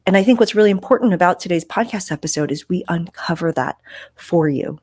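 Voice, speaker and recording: A woman's voice: on the low side, lively intonation, fairly quick, a little mellow, breathy edge, no echo, studio quality. A woman hosting a podcast, talking in an upbeat, emphatic, conversational way. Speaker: podcast host. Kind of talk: podcast intro and interview.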